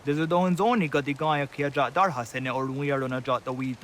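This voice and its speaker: Mid-pitched village man played by an actor. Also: fairly even intonation, fast talker, fairly bright tone, slight background noise, lightly acted. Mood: serious. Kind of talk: dramatic video game cutscene acting.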